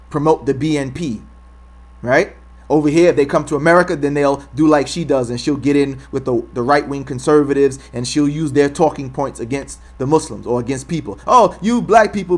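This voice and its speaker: A man hosting his own commentary show, arguing emphatically and repeating his points with frequent rhetorical "right?". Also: medium pitch. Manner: emphatic, repetitive, argumentative. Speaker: host. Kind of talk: opinion commentary on a clip.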